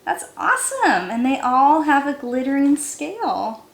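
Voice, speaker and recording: A woman teacher reading a picture book aloud in an expressive, animated way to young listeners. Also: high-pitched, a little slow, creaky. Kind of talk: expressive children's read-aloud. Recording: roomy, echoey sound.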